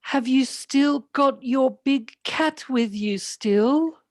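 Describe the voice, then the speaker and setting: Woman playing a high, very slow little troll. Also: darkish tone, no room sound, clean studio sound. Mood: calling. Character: mischievous, timid little troll with a sausage.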